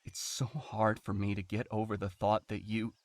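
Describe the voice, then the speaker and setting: An actor playing a grieving, devoted adult son in a game drama, speaking earnestly and vulnerably. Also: male voice, on the low side, little pitch movement, normal speed, slight background noise, close to an everyday voice. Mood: sorrowful. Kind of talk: emotional dramatic game voice acting.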